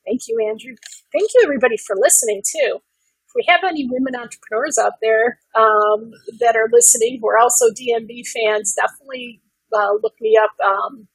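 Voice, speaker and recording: A woman's voice: on the high side, vocal fry, fairly bright tone, slightly rough, no room sound, rough recording. A woman giving conversational answers as an interview guest, earnest and thinking aloud as she goes. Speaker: podcast guest, women's business advocate.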